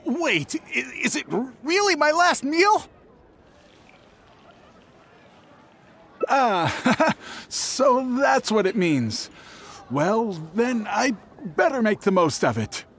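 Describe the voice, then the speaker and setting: A nervous young merchant from a fantasy game. He talks slowly and evasively, with stammers and a widely swinging pitch. Faint ambience sits behind the voice. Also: sing-song, fairly bright tone, slight background noise, exaggerated voice. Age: young adult. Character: shifty, nervous young male traveling merchant. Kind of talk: scripted fantasy game voice acting.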